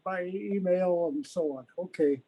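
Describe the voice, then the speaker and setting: A man's voice: high-pitched, measured pace, muffled recording. A man chatting casually as a club member in an online meeting, with repeated short affirmations. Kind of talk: casual chat. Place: remote video meeting.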